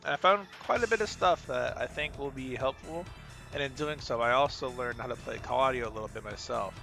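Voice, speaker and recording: A man's voice: mid-range voice, a little fast, vocal fry. A man giving casual, unscripted coaching commentary on a game replay, thinking aloud in an informal, hesitant way and repeating himself. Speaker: gaming video creator giving match analysis. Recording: game music and battle sounds behind voice. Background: loud fighting game music and combat effects.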